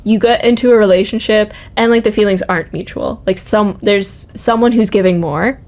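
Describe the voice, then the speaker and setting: A woman's voice: mid-range voice, moderate pace, a little mellow. A young adult woman chatting casually on a podcast, informal and reflective, feeling her way through personal stories.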